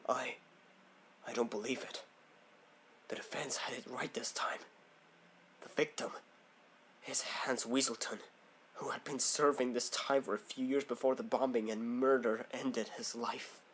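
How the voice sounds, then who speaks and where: A man's voice: medium pitch, fairly even intonation, a little slow, long pauses, a bit bright, slight background noise, natural voice. A man playing a proud, formal prosecutor in an amateur courtroom audio drama. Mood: grave. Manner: formal, declamatory.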